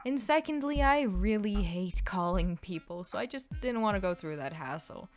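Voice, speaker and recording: A nineteen-year-old from Canada whose first language is Russian, telling a story in a casual, animated, self-deprecating vlog. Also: mid-range voice, moderate pace, faint music behind. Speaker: vlogger.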